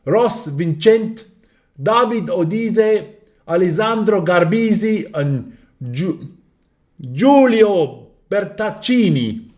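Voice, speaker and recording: A man's voice: high voice, expressive, slow pace, a little mellow. A man co-hosting a rugby show, bantering, swearing and reading lineups in mock Italian. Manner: joking, swears often, mock-Italian number calling.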